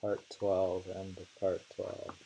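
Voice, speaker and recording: Slow-talking man with a gravelly, creaky voice. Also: low-pitched, completely flat delivery, dark tone, dull audio. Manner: unhurried, halting, repeats lines. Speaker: reader of translated poetry. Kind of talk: slow poetry reading with casual commentary. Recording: faint hiss.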